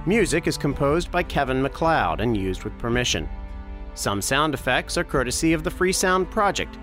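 Animated man reading scripted credits. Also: mid-range voice, normal speed, music in the background. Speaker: credits announcer.

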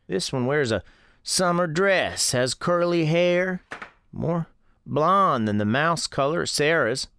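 A man playing a folksy, watchful old American storekeeper who is gentle with children, telling his story in a radio drama. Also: on the high side, animated, slow pace, vocal fry, clear, resonant tone, full character voice. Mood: calm, observant. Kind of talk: first-person scripted storytelling in radio drama.

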